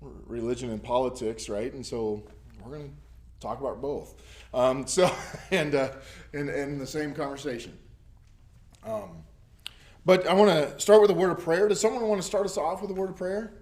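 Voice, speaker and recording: A man's voice: a little high, moderate pace, a little mellow. A man with a somewhat scratchy voice teaches a small church Bible study in front of a camera. He talks loosely and conversationally, often circling back with ums and restarts before landing his point. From United States. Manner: rambling, many ums and restarts. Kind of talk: casual teaching to a small group. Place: church classroom. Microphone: camera recording.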